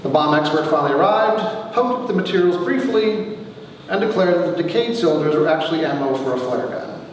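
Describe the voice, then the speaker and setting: A man giving a wry dramatic reading of his own humorous story to an audience, with theatrical pauses. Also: fairly high pitch, lively intonation. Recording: echoey hall from far off, faint noise.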